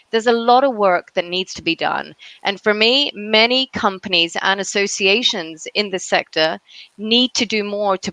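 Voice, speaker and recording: A woman's voice: mid-range voice, sharp tone, no room sound, rough recording. Middle-aged woman panelist, emphatic, dry sound. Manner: emphatic, punctuates points with "right?" and "you know". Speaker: conference panelist, travel industry executive. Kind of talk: panel talk about own career and beliefs.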